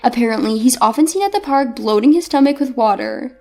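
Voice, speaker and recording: A woman's voice: a little high, animated, a little fast, dark tone, faint music behind. A woman reading a scripted first-person story as narrator.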